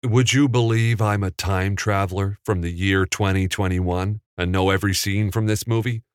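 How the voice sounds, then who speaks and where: A man voicing a bold young man who talks in a lively, teasing way. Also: low-pitched, normal speed, smooth, no echo, studio quality, close to an everyday voice. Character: young man, time traveler on set, bold.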